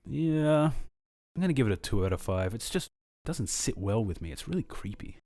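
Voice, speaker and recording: An earnest male hobbyist radio host with a mid-pitched voice. He presents casually, recorded dry and close, as part of an audio drama. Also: sing-song, normal speed, darkish tone, no echo. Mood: casual, put off.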